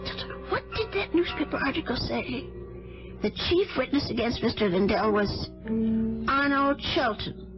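A woman's voice: sits high, sing-song, moderate pace. An actress playing an anxious, kindly landlady in an old radio drama, murmuring to herself with theatrical sighs. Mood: alarmed. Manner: fretful, sighing, theatrical murmuring. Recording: dull vintage radio with loud music.

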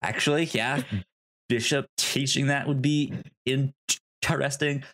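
A man's voice: mid-range voice, steady tone, unhurried, a bit bright. Rambling, stammering man chatting casually. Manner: rambling, stammering, laughs easily. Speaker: podcast co-host. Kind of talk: casual film discussion.

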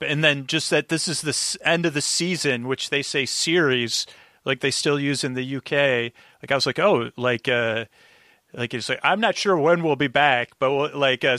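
A man chatting casually as a podcast guest, rambling and halting as he circles back over his words. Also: mid-range voice, sharp tone, no room sound, studio quality. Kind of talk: casual conversation, recapping an old radio show.

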